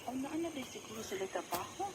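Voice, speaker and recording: A woman's voice: fairly high pitch, big pitch swings, fast talker, heavy background noise. A woman acting a worried wife who recounts her family's troubles in a radio drama, with a Cebuano Filipino accent. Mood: concerned.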